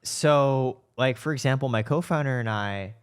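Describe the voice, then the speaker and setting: A man's voice: mid-range voice, moderate pace, smooth. A man hosting a podcast in a casual, conversational interview style, speaking informally and with enthusiasm. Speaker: podcast host and startup co-founder.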